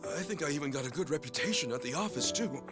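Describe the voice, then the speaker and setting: An actor playing an earnest, bumbling, excitable ex-yakuza in a video game. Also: male voice, on the high side, fast talker, over loud music, close to an everyday voice. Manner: bumbling, hesitant, exuberant when excited.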